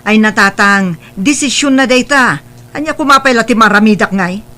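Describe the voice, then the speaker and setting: A woman's voice: a little high, normal speed. An actress playing a proud, defiant grown daughter in an audio drama, irritable and dismissive in the way she talks. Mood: irritated, defiant. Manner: sharp, dismissive. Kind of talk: Ilocano audio drama acting.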